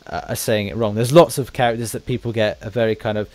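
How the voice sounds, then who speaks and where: An Englishman hosting a casual podcast interview for a gaming channel, with halting, rambling explanations. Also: on the low side, steady tone, a little mellow, no room sound. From England.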